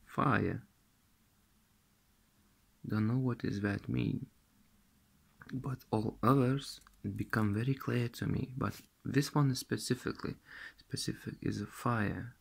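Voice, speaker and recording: Slow, gravelly man with a Russian accent. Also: mid-range voice, fairly even intonation. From Lithuania. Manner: halting, searching for words, repeating himself. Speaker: vlogger. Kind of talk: recounting a dream to camera. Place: small room indoors. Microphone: phone camera held close.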